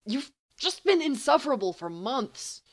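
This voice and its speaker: A woman's voice: high-pitched, big pitch swings, pitched above the performer's own voice, heavily acted. Audiobook role: dry-witted bookish teenage boy voiced by a woman, exasperated, grumbling, with very varied intonation. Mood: wry. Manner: grumbling, sardonic, halting when flustered.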